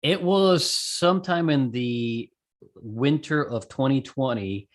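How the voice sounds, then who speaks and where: A man from Puerto Rico with a mid-pitched voice talks as a guest in a casual interview. He tells things in colloquial language and voices his own thoughts as quotes. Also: slow. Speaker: interview guest, amateur radio operator and skydiver.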